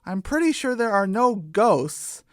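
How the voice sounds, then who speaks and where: A woman's voice: mid-range voice, big pitch swings, measured pace, dry recording, studio quality, voice pushed up. A woman voicing a sceptical, sarcastic farm chicken in a children's radio play. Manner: sarcastic, doubtful.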